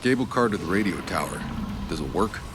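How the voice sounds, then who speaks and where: An actor playing a terse, dry, unflappable soldier for hire in a video game cutscene. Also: male voice, low voice, animated, a little fast. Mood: curious, terse. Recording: clear background noise.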